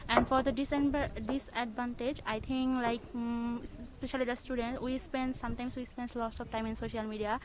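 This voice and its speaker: Woman from Bangladesh, young student, fairly high voice, hesitant answers with fillers. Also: flat delivery, brisk, few pauses, poor audio. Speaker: student interviewee.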